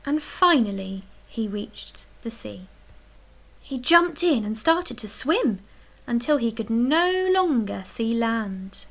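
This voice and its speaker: A woman reading a children's picture book aloud as a storyteller, expressively, with dramatic emphasis and hushed, breathy dips. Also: on the high side, big pitch swings, slow pace, low-quality recording. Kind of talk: expressive read-aloud bedtime story narration.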